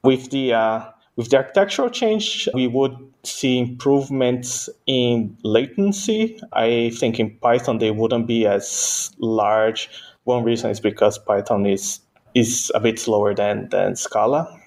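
Brazilian man, Portuguese native speaker, guest engineer; gravelly, halting, laughs under his breath. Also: medium pitch, expressive. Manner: hesitant, frequent restarts and soft laughs. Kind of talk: casual interview answers.

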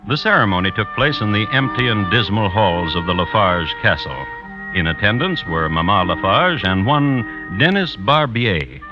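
A man's voice: lowish voice, expressive, moderate pace, music in the background, natural voice. A man giving wry, mock-serious scripted narration for an old-time radio drama. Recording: dull vintage radio recording.

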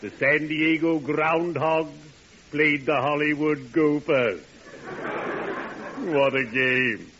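Slow, mournfully genteel radio undertaker. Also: male voice, fairly high pitch. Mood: genteel, morbid pride. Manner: formal, drawn-out, grandly mournful delivery. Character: friendly, morbidly genteel undertaker, solemn and theatrical. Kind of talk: 1940s radio comedy acting. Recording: noisy, dull old radio recording.

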